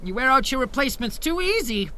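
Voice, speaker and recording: An actor playing a grumbling but dutiful, plain-spoken ship's carpenter and smith in an audio drama. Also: male voice, very high-pitched, big pitch swings, fast talker, sharp tone, full character voice. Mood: dutiful, wry.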